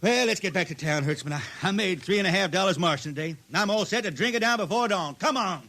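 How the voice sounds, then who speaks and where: Dreamy country-bred male space drifter, highish voice, Southern hill drawl, old radio drama with music. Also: expressive, bright, forceful tone, heavily acted. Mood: restless. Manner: folksy, halting, dreamy. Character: wistful blind spaceman poet, Ozark country-bred drifter.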